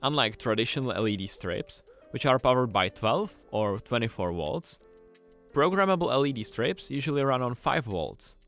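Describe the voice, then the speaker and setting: A man presenting a scripted tutorial, explaining things clearly and with enthusiasm. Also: mid-range voice, lively intonation, normal speed, fairly bright tone. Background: music.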